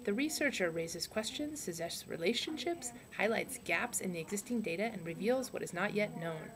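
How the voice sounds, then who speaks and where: A woman voicing a deadpan male sociologist puppet, reading out a comic lecture in a casual way. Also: medium pitch, moderate pace, a crowd in the background, close to an everyday voice. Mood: lecturing.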